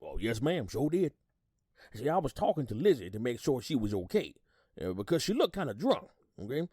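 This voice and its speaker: A man's voice: medium pitch, sing-song, a little fast, dark tone, breathy edge, no echo, studio quality. A man playing an older retired army sergeant on the witness stand in an audio drama, folksy and gossipy, rambling and repeating himself. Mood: obliging, chatty. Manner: folksy, rambling, repeats words, hums agreement. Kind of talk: audio drama acting, courtroom testimony.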